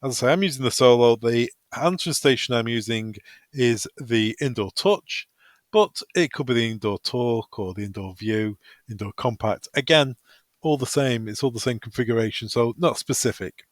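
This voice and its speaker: A man's voice: medium pitch, expressive, moderate pace, dry recording, studio quality. A man presenting a step-by-step technical walkthrough in an informal, practical, instructional way. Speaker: product tutorial presenter. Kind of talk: step-by-step software walkthrough, semi-improvised.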